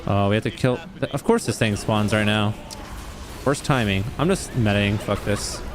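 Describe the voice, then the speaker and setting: Casual low-voiced man gaming. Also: moderate pace, loud music behind the voice. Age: 30s. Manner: casual, swearing, self-mocking. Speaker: streamer.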